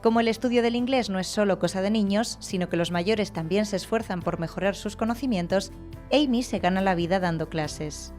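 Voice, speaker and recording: A woman reporter reading scripted news narration in an even, clear voice-over delivery. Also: mid-range voice, brisk, soft background music.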